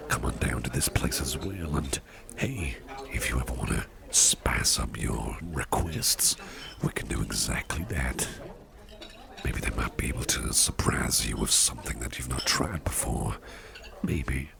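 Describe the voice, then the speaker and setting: Very deep, gravelly gentleman boss, acted by a man. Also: animated, a crowd in the background, heavily acted. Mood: tender, appreciative. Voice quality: breathy, often near-whispered, gravelly. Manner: gentle, unhurried, reassuring, gentlemanly. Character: attentive, courteous businessman boss on a dinner date. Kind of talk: soft ASMR roleplay.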